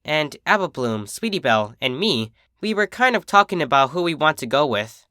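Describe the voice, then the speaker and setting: A dejected young cartoon pegasus filly acted out by a story narrator speaks in a hesitant, defensive mumble. The pitch moves around a lot, and the sound is dry and close. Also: very animated, moderate pace, sharp tone, dry recording. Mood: flustered, tearful.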